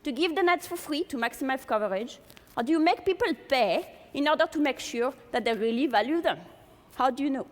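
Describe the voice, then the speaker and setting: French woman lecturing in a big hall, high voice, long echo, keeps throwing questions at the crowd. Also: animated, fairly quick. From France. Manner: poses many rhetorical questions to the audience. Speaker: speaker giving a talk to an audience. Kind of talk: public lecture. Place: large lecture hall with an audience.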